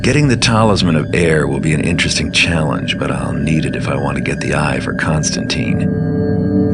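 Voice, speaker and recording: A cynical, self-assured thief narrates a game briefing. The voice is extremely deep with a creaky rasp, understated and deliberate, set over prominent music. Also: male voice. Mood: wry, matter-of-fact. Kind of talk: hushed scripted mission-briefing narration.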